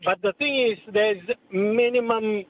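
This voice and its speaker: A man calling in to a radio show, speaking in halting, broken-up phrases. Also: high-pitched, no room sound. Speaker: caller. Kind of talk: radio talkback call. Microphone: phone. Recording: muffled phone line.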